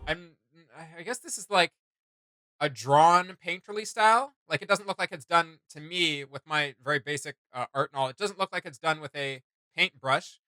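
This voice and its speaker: Man, higher-pitched voice, bright edge, casual commentary, informal, hesitant phrasing, faint music behind. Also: clear, resonant tone, no echo. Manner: hesitant, searching for words, informal slang. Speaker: reaction video host. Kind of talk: casual reaction commentary on game artwork.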